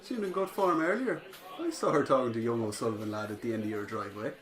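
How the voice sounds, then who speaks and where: An actor playing a nosy pub local, making probing, gossipy small talk in an audio drama. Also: male voice, medium pitch, animated, fast talker, natural voice. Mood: prying, casual.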